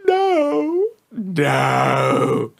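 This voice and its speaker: A child hosting a Let's Play, giving excitable gameplay commentary and often repeating words. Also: medium pitch, drawn-out words, creaky. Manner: exclamatory, repeats words and phrases. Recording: loud game music behind the voice. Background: video game music.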